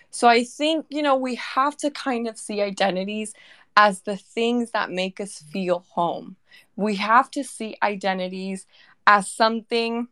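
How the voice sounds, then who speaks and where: Smooth-voiced woman speaking on a panel. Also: mid-range voice, a bit bright. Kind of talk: panel discussion.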